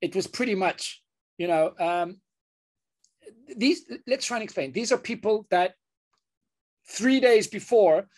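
A man's voice: high voice, animated, darkish tone. A man, a surgeon, speaking as an interview guest in an earnest way, often restarting his sentences. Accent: British. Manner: earnest, halting with many restarts. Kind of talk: interview conversation.

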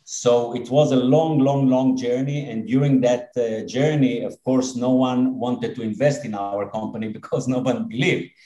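A man in his fifties with an Israeli accent, a dentist and company head speaking as a podcast guest in an earnest, halting way with many fillers. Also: medium pitch, steady tone, mellow, roomy sound, muffled recording. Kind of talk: podcast interview.

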